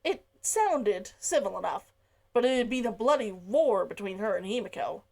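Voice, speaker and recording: A woman author reading her own story aloud and chatting to viewers, expressive, with sighs and laughs. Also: mid-range voice, moderate pace. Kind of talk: read-aloud narration and chatty asides.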